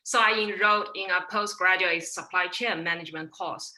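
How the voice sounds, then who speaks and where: Guest speaker: woman, Chinese accent, very bright sharp tone, low-set voice, formal measured delivery on a video call. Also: fairly even intonation, poor audio. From China. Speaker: webinar guest, procurement professional. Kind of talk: prepared personal story.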